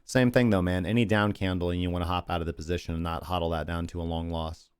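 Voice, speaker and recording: A man's voice: sits low, level tone, fast talker, clean tone, dry recording, clean studio sound. A man hosting a crypto livestream, chatty and rambling as he talks through charts and thanks viewers, losing his voice. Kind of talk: casual market analysis and sign-off.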